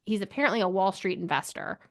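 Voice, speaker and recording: A woman's voice: medium pitch, fast talker, vocal fry. A woman co-hosting a podcast, chatting casually about a book in an informal, opinionated and incredulous way. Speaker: book club podcast co-host. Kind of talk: casual book discussion chat.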